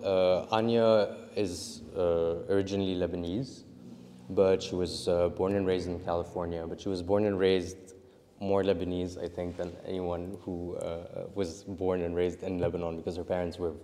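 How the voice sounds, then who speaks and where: A man's voice: sits low, mellow, in a large hall. A young man answering interview questions about his film, rambling and restarting often as he talks. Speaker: interview guest, filmmaker.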